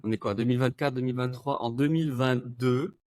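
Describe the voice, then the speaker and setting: A man's voice: medium pitch, steady tone, a little fast, a little mellow, no room sound. Man in his 30s, German-native Belgian guest, rambling and hesitant, dry close sound. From German-speaking Belgium. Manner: rambling, many hesitations, laughs often. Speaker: podcast guest, video creator. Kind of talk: casual interview chat.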